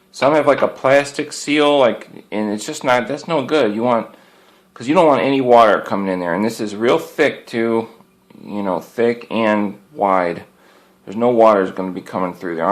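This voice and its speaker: Man rambling through a casual show-and-tell. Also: fairly low pitch. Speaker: hobbyist product reviewer.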